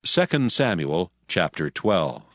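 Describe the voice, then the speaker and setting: A man's voice: deep voice, big pitch swings, takes it slowly, fairly bright tone, faint music behind. A man reading scripted narration for a dramatized Bible audiobook.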